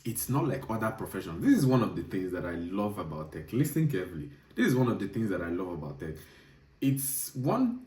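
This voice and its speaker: Deep, mellow man in a roomy echo. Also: very animated, a little fast. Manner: emphatic, repeats key points. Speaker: video host, software developer. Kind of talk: direct-to-viewer advice monologue. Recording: roomy echo, distant mic.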